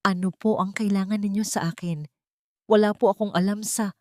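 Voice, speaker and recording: A woman's voice: mid-range voice, steady tone, moderate pace, dark tone, no echo, studio quality, close to an everyday voice. A woman voicing a frightened, guilt-ridden socialite in an audio drama, with a trembling, pleading delivery. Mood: nervous.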